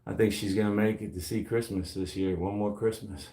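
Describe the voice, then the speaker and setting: A man's voice: on the low side, steady tone, a little fast, dark tone. A man in his forties chatting casually and joking about himself between sets of weightlifting in his home gym. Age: 40s. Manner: joking, self-deprecating banter between sets. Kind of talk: casual chat while lifting weights. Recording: roomy echo.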